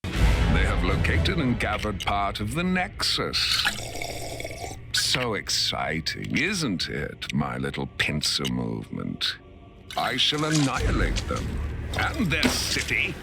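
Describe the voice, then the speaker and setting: An actor playing a menacing time-conquering warlord who gloats slowly and theatrically over his plans, in a video game cutscene. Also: lowish voice, huge pitch swings, creaky, loud music behind the voice, exaggerated voice. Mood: gloating. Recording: game score under the voice.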